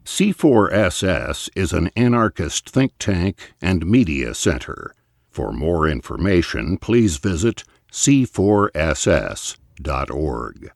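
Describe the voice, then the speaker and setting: A man's voice: sits low, very animated, slow, gravelly, no echo, studio quality. A man working as a podcast announcer, reading a scripted show introduction and sign-off.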